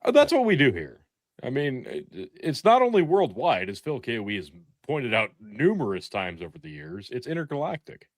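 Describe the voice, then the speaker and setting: A man's voice: medium pitch, sing-song, normal speed, no echo. A man co-hosting a podcast, trading casual comedic banter in a joking, mock-serious manner. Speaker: podcast co-host.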